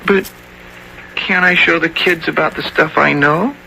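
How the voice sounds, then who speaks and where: A male actor playing an eager, earnest young boy in a radio drama, with an excited, stammering delivery. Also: fairly high pitch, measured pace, gravelly, full character voice. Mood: pleading. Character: eager earnest young boy who loves animals and weather. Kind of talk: radio drama acting and narration. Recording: noisy 1970s radio drama recording.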